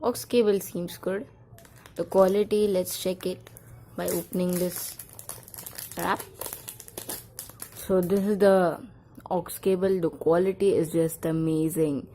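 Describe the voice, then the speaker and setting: Lower-pitched, mellow woman presenting an unboxing. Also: steady tone, slow, pauses often, slight background noise. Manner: simple, repetitive short phrases while demonstrating. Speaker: product review vlogger. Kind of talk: casual unboxing and demonstration.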